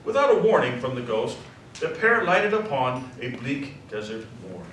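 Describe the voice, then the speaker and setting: Casting: expressive male narrator for live radio play, animated, picked up from afar with faint noise. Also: mid-range voice, normal speed. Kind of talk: scripted storybook narration for live radio drama. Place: theater stage before live recording. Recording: echoey live stage, distant, faint noise.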